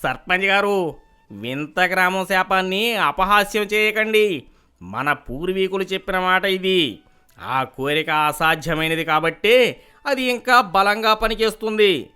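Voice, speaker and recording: A man voicing a pompous, fraudulent village priest in an animated moral story, giving grave and imperious warnings. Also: high-pitched, clear, resonant tone, full character voice.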